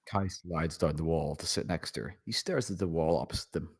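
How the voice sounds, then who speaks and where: A man's voice: deep voice, brisk, husky. A man narrating a script's stage directions at a table read.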